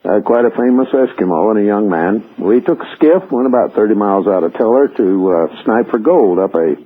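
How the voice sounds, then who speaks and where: A man's voice: on the low side, lively intonation, brisk, breathy edge. Older guy phoning radio with a story, voice swings up and down, emphatic, tinny line. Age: middle-aged or older. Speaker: radio show caller. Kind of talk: vivid personal storytelling. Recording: tinny, narrow phone line.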